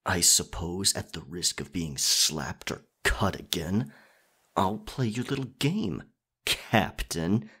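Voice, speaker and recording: A man playing a captured, proud and defiant pirate captain in an ASMR roleplay, with theatrical old seafaring phrasing and a husky, breathy voice. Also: low voice, slow pace, bright, forceful tone, a little hiss or hum, exaggerated voice. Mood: reluctantly compliant.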